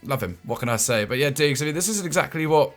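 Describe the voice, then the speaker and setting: Male host, mid-pitched, casual and stammery, chuckles a lot. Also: expressive, fast talker, fairly bright tone, faint music behind. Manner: stammering restarts and chuckling asides. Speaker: football show host. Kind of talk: casual football analysis chat.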